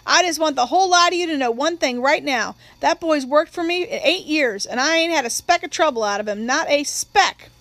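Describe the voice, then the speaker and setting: A woman acts out a country employer in a novel reading. He is a blunt, loyal man, emphatic and plain-spoken, in a high, dryly recorded voice. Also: expressive, a little fast, hardly any pauses, a bit bright, dry recording. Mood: defiant.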